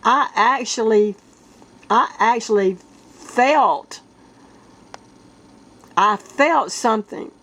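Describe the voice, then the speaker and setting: A woman with a congested, sick-sounding voice talks to her viewers in a casual vlog. She speaks earnestly in halting bursts, often starting over. She clears her throat and coughs again and again. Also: fairly high pitch, fairly bright tone. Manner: earnest, halting, with many restarts. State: congested, stuffed-up nose from a sinus illness. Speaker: vlogger.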